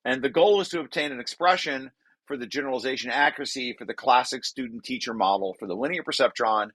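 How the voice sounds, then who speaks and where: A man's voice: mid-range voice, fast talker, fairly bright tone, no echo. An older man giving an informal technical lecture, reading through a paper and explaining it, often rephrasing as he goes. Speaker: researcher presenting his paper.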